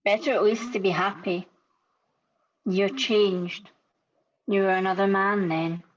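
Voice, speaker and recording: A woman playing a sorrowful but resolute young woman in a radio-style drama read over a video call, measured and earnest. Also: mid-range voice, steady tone, natural voice. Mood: sad, reproachful. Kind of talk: rehearsed radio-script drama reading. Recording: dull lo-fi video call audio.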